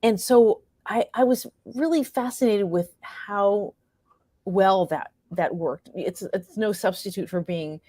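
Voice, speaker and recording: A woman's voice: medium pitch, slow pace, dark tone, dry recording, studio quality. A woman answering interview questions as a playwright and performer, conversational and reflective, often searching for words.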